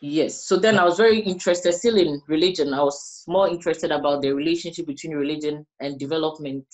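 A middle-aged woman from Ghana with a West African accent, explaining her research as an interviewee in measured phrases with pauses. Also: deep voice. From Ghana, also grew up in the Gambia. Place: small indoor room, plain wall.

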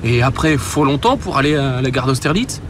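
A man's voice: medium pitch, animated, brisk, lightly acted. An actor playing a bossy, impatient young man in a radio drama, swearing in slang. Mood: impatient, annoyed. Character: bossy, panicky, foul-mouthed young man. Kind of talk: French radio drama acting. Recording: street and car noise behind.

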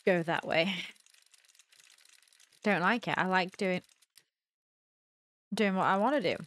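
A woman's voice: lowish voice, takes it slowly, fairly bright tone. A young adult woman giving casual playthrough commentary into a close desk mic, thinking aloud and reacting as she plays. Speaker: gaming video host. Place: small room at home, indoors. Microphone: close desk microphone on an arm.

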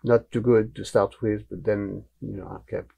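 Older French man, gravelly, animated and blunt storyteller in a studio interview. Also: lowish voice, moderate pace, lo-fi sound. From France. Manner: blunt, animated, gestures with hands while talking. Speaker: guest, martial arts teacher. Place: small studio room with wooden cabinets, indoors. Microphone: lapel mic.

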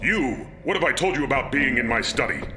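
An actor playing a huge, cruel, domineering father with a booming, menacing delivery in a fantasy audio drama. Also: expressive, a little fast, close to an everyday voice. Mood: booming anger. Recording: music under the voice.

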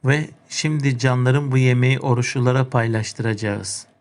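A man's voice: mid-range voice, fairly even intonation, normal speed, lightly acted. A man voicing a pious, kindly father in a children's cartoon, speaking in a solemn, instructive manner.

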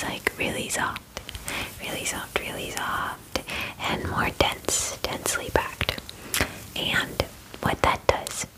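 Woman acting a slow whispering werewolf spa groomer. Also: natural voice, poor audio. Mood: soothing, explanatory. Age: 20s. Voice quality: breathy whisper. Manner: slow, soothing, repeats words softly, hesitant pauses. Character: gentle, attentive female werewolf spa groomer. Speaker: ASMR roleplay performer. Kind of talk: ASMR whispered roleplay. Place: small dim indoor room. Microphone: close microphone, facing camera.

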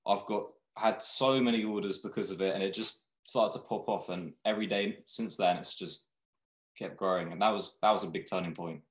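A young man who founded a clothing brand, talking in a relaxed, rambling way with many restarts on a video call from a small room. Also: deep voice, moderate pace. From Thailand, raised in England. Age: young adult. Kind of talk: casual video-call interview. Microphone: webcam video call. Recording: echoey room.

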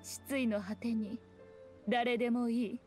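A woman playing a teasing, regal fox shrine maiden in a video game, with archaic lofty speech and an amused, unhurried manner. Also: on the high side, little pitch movement, a little mellow, faint music behind, lightly acted. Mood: explaining calmly. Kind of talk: scripted video game voice acting.

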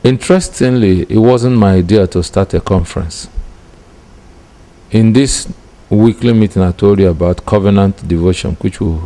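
A man's voice: lowish voice, moderate pace, mellow. A Nigerian-accented man in his fifties, an apostle, recounting his story reflectively in an interview, close to the microphone indoors. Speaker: church founder, apostle. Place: small curtained room indoors. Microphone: handheld-style microphone close in front.

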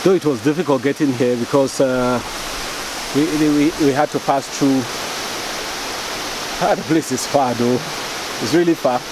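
A man, narrating a casual travel vlog outdoors, relaxed and hesitant with many fillers. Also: medium pitch, a little slow. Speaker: travel vlogger. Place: outdoors in rural countryside. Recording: hissy outdoor recording.

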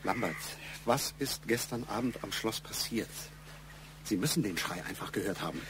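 An actor playing an insistent investigator in a German radio crime drama, probing and emphatic. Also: male voice, on the low side, lively intonation, normal speed, noisy background, natural voice. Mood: accusing. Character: insistent male investigator pressing a witness hard.

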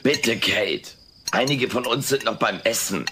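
An actor playing a wisecracking, self-centred furry alien in a sitcom audio play, sardonic and cheeky. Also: male voice, mid-range voice, measured pace, over a noisy crowd, close to an everyday voice. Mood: sarcastic. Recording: noisy old cassette audio.

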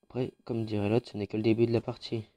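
A man's voice: lowish voice, little pitch movement, quick pace, dark tone. Congested French gamer narrating his match. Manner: chatty, informal, with frequent fillers. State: has a head cold, congested. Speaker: gaming YouTuber. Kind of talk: casual mobile game commentary.

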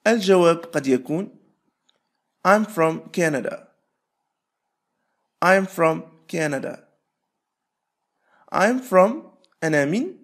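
A man teaching English, repeating short phrases slowly and switching to quick explanations. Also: a little high. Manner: repeats phrases slowly, stretching words apart; explains rapidly between drills. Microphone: voice-over.

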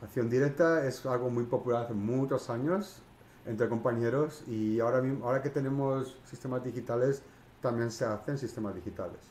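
A middle-aged man with a Peninsular Spanish accent talks in a brisk, colloquial, emphatic manner at medium pitch. He is recorded through a headset in a small home room. The room adds a noticeable echo. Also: darkish tone, a little hiss or hum. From Spain. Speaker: podcast co-host. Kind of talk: casual chat. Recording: roomy echo.

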